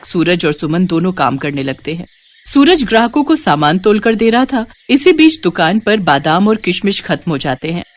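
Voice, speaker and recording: A woman's voice: on the low side, big pitch swings, normal speed. A woman reading a scripted devotional moral story as its narrator.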